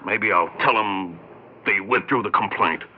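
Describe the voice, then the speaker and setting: A man acting a gruff American policeman with a soft heart, in an old radio play. His voice is fairly low and his talk is plain and colloquial, with hesitations. The recording is muffled, like a 1960s broadcast. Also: unhurried, a little hiss or hum, lightly acted. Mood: gruffly kind. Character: gruff but soft-hearted working cop, reluctant protector.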